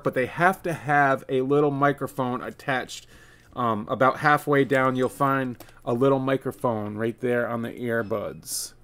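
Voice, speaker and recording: A man's voice: mid-range voice, a bit bright, clear, resonant tone. A man in his forties presenting a casual step-by-step tech tutorial, plain-spoken and speaking directly to viewers. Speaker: video creator, tutorial presenter. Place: indoor room, seated at a desk.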